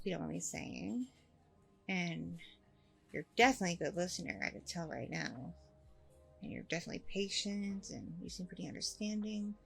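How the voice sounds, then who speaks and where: A woman playing a warm, chatty single working mom on a date, in a casual, rambling ASMR roleplay. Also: fairly low pitch, vocal fry, husky, exaggerated voice. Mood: frank, easygoing. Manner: casual, rambling, informal slangy talk. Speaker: roleplay performer.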